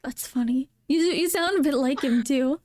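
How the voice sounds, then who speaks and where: A woman's voice: high voice, moderate pace, darkish tone, no echo, studio quality. A woman hosting a casual interview chat, giggly, often repeating her words. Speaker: podcast host.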